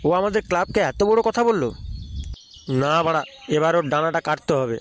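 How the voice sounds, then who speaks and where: Man acting as brash tough guy, comic cartoon, aggressive, high-pitched, rapid-fire, faintly noisy. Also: expressive, natural voice. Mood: irritated. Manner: brash, foul-mouthed, aggressive. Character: hot-headed male neighbourhood club tough guy.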